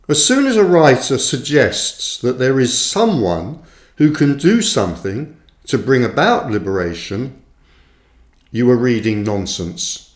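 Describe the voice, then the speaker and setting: Slow, expressive man reading in echoey room. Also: mid-range voice. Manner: deliberate, measured phrasing with pauses. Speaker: author reading own book. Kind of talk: audiobook-style reading of a reflective text. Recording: roomy, echoing voice recording.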